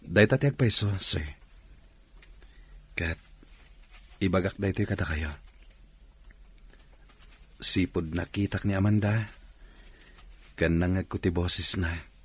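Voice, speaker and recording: An actor playing an earnest, respectful young man and devoted suitor in a radio drama, with a husky voice. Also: low-pitched, takes it slowly, long pauses, a bit bright, exaggerated voice.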